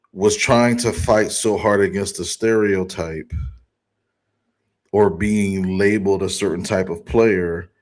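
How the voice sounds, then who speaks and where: A man co-hosting a casual sports podcast, thoughtful and halting, with many pauses and restarts. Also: low voice. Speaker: podcast co-host.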